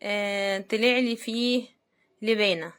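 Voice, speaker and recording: A woman's voice: medium pitch, fairly even intonation, measured pace, fairly bright tone, smooth. A woman with an Egyptian Arabic accent chats casually to her viewers, commenting as she hosts an unboxing video. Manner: chatty, addresses viewers directly. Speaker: host of product unboxing videos.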